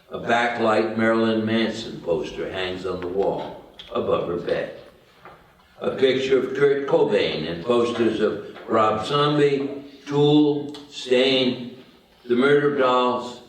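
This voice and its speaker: A man giving scripted narration of stage directions at a table read, in an echoey room. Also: medium pitch. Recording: very echoey room, distant microphone.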